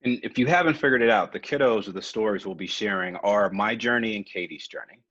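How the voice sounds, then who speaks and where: A man's voice: fairly low pitch, fast, fairly bright tone, no room sound. A man in his forties giving an earnest, reflective webinar talk about his own life, with frequent um fillers. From United States. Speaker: webinar presenter. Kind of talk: personal storytelling presentation. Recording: dull, lo-fi webinar audio.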